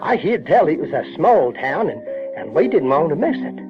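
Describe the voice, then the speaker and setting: An actor playing an uneducated, conscience-troubled Southern boy who narrates an audio drama in a halting, rustic way. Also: on the high side, very animated. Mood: plain, storytelling. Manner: halting, repeats words, rustic grammar. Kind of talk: folksy first-person audio drama narration. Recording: dull vintage radio-drama recording, music behind.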